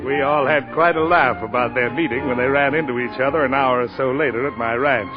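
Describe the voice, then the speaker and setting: A man's voice: medium pitch, brisk, over loud music, lightly acted. A man playing a kindly lawyer friend, earnestly telling a story in 1940s radio drama style. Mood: reflective narrating. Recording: muffled, noisy 1940s radio recording.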